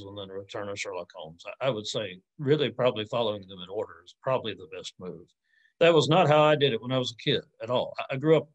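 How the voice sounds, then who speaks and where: Male academic, forties, mid-range pitch, informal Q&A delivery, reflective and a little hesitant, on a video call. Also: rough recording. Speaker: academic answering audience questions. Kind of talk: informal lecture Q&A, thinking aloud. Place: indoors on a video call with virtual background.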